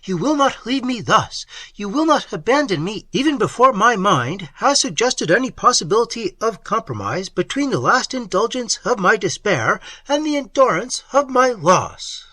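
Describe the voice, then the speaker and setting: A wild, impassioned young lover, as a male reader plays him, pleading in a bright, forceful voice that swings up and down. The sound is close and dry, with a slight husky edge. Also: medium pitch, very animated, a little slow, no room sound, full character voice. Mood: frantic. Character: young man, desperate, ruined and frantic lover. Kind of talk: audiobook reading of a classic novel.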